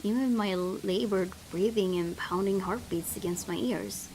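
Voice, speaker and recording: A woman's voice: medium pitch, measured pace, a little hiss or hum, poor audio. A woman hosting a gaming video, reading a visual novel aloud dramatically and reacting with open emotion. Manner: expressive, exclaiming at the game.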